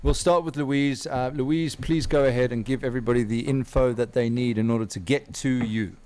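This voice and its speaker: A man with a mid-pitched voice hosts a live audio call in a loose, joking way, stumbling over uhs as he moves things along. A faint hum of noise sits under his voice. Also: fairly even intonation, moderate pace, a little mellow. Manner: loose, jokey, hesitant with uhs. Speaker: host. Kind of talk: casual hosting of a live audio room. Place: remote audio room call.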